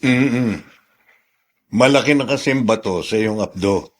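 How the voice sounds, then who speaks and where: Calm doctor, slightly low pitch, measured, explanatory, radio drama. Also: male voice. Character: calm, matter-of-fact male doctor explaining a diagnosis.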